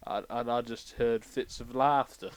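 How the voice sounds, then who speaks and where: Man performing hapless assistant, deadpan, halting, repetitive phrasing, mid-pitched, improvised comedy. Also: clean tone, natural voice. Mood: deadpan, sheepish. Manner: deadpan, halting, repeats words. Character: deadpan, hapless male railway assistant named Robert.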